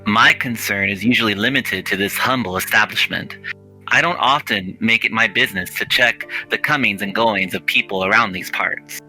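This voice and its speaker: A man as gentle middle-aged bookish shopkeeper, visual novel character; lowish, very crisp forward tone, courteous, over music. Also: low-quality recording. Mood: courteous, curious. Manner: courteous, measured, wistful. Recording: music behind the voice.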